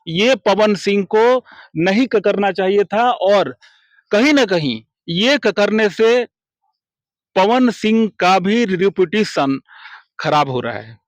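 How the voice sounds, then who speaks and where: A man with a high-pitched voice gives his opinion in an interview. He speaks very slowly and haltingly, catching on word starts and repeating them, in a dry recording. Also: lively intonation, dry recording.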